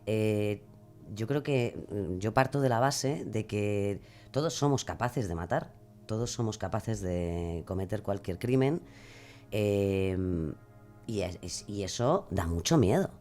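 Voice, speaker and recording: A woman's voice: very deep voice, animated, slow pace, dark tone, faint music behind. A woman musing hesitantly and philosophically as a podcast guest. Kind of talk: conversational interview answers.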